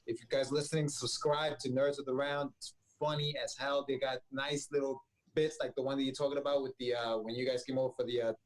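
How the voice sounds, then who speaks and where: A man hosting a podcast, easygoing and quick to laugh, wrapping up a chat with guests. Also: mid-range voice, flat delivery, poor audio. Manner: easygoing, laughs often, frequent fillers. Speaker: podcast host. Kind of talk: casual chat.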